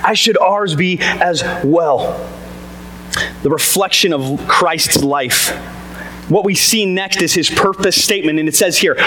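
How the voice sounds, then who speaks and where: A man with a boomy voice giving an energetic, chatty sermon to a church congregation, asking the listeners questions. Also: slight background noise. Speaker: pastor. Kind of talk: casual conversational sermon. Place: church service before a congregation. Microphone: amplified mic on a sound system.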